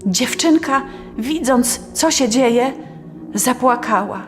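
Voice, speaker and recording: Slow-paced woman storyteller. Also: fairly high pitch, expressive. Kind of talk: scripted fairy tale narration. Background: faint music.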